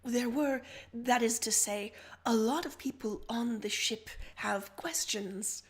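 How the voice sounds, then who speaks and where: Trembling, timid alien noblewoman, acted by a woman. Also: a little high. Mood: nervous. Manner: trembling, apologetic, halting. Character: timid, reverent blue-blooded alien woman. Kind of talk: character dialogue in a read-aloud story.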